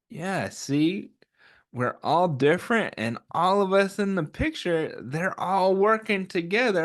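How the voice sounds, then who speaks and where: A man's voice: on the high side, fairly bright tone. A man hosting a children's story time, reading aloud and chatting to young listeners in an encouraging way, often asking questions and answering them himself.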